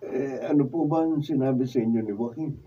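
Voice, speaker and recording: Husky, mellow man acting an uneasy doctor. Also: mid-range voice, brisk, natural voice. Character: conscientious, uneasy male doctor and cousin. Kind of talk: radio drama acting. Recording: dull, distant room recording.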